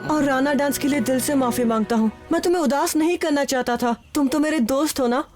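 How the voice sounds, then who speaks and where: A woman's voice: sits high, quick pace, close to an everyday voice. A woman voicing an earnest, playful young jungle boy in a Hindi children's cartoon. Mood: apologetic. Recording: loud music under the voice.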